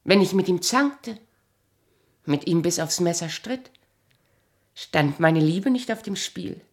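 A woman playing a proud, bitter abandoned wife in a radio drama, sharp-tongued, imperious and sardonic in a theatrical style. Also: fairly low pitch, lively intonation, slow pace. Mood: bitter, reflective.

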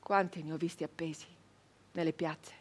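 A woman's voice: low voice, fairly even intonation, normal speed. An actress playing a bitter, haunted, fierce 19th-century peasant woman turned brigand in a theatrical monologue, with emphatic, incantatory delivery. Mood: hushed, bitter. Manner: emphatic, incantatory repetition, swinging from hushed to loud.

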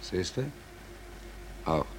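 An actor playing an embittered, hungry merchant seaman in a 1940s German radio play, speaking in a halting, brooding, insistent way. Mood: alarmed, grim. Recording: noisy 1940s radio drama recording.

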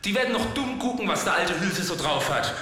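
A man's voice: high-pitched, expressive, brisk, a crowd in the background. An actor playing a rueful yet cocky washed-up ex-punk man, rambling in slangy colloquial speech in a comic monologue. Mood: boastful. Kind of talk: spoken comic monologue to an audience. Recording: very echoey hall, long reverb.

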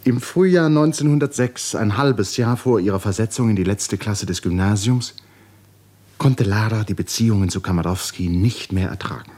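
A man narrating a literary 1950s radio play with dramatic, deliberate phrasing that often falls to hushed endings. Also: fairly low pitch. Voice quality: breathy, husky.

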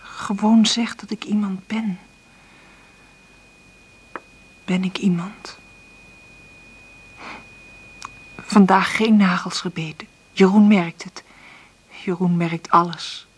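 An actress playing an insecure, tender single mother in a radio play, hesitant and thinking aloud in a breathy voice. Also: mid-range voice, steady tone, measured pace. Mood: wistful. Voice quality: breathy, often half-whispered. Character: insecure, struggling divorced mother, tender and nervous. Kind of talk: naturalistic radio play acting with intimate monologue.

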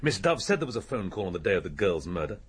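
An English detective inspector in a radio play. His voice is very husky, with lively rises and falls. He questions in a formal, patient way, sounding doubtful of what he is told. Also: lowish voice, fast talker, full character voice. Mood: probing. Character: sceptical, formal male English police inspector, probing and patient. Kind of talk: BBC radio drama acting.

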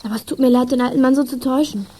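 An actress playing a kind-hearted young girl detective, polite and gentle, in a children's radio play. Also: sits high, steady tone, very fast, a little hiss or hum, close to an everyday voice. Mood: sympathetic.

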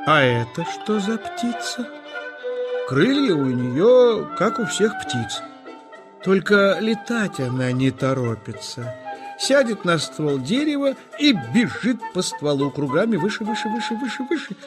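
Male storyteller for children's tales: higher-pitched, very lively intonation, deliberate, music underneath. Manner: expressive, deliberate phrasing. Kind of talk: scripted children's story narration.

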